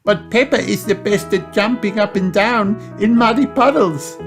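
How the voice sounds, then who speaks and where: A man voicing an excited little girl kangaroo with a very high, breathy squeal. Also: normal speed, soft background music.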